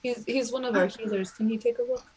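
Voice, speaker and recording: A woman narrating her character's actions in a casual, halting way during a tabletop roleplaying game. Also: on the high side, fairly even intonation, darkish tone, husky, poor audio.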